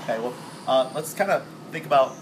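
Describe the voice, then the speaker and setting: Chatty 30s guy hosting, mic far off, loud hum behind. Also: medium pitch, lively intonation, fairly quick. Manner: upbeat, chatty, gestures while talking. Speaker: host. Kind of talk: casual interview. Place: bright indoor room with glass wall. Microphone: far camera. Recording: camera audio with loud background noise.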